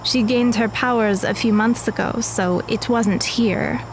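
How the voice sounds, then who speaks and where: A composed, formal teenage girl in an audio drama, played by a woman. Her voice sits a little high. She is guarded and exact in her wording, with faint noise behind her. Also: steady tone, a little slow, close to an everyday voice. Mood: matter-of-fact. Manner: matter-of-fact, precise.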